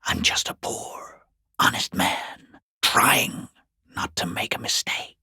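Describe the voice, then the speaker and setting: A man playing a mocking, sinister unseen watcher in a video game, in a hoarse whispering rasp with taunting, drawn-out phrasing. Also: pushed and bright. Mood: mock pleading. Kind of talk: video game character voice lines.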